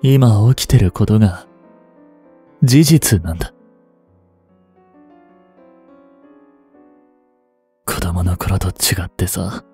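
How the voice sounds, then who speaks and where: A man in his twenties playing a remorseful, grieving young hitman in a voice drama, breathy and anguished. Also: mid-range voice, mellow, no room sound. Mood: resigned, pained. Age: 20s. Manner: anguished, halting, intimate. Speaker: voice actor. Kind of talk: Japanese voice drama monologue, emotional acting.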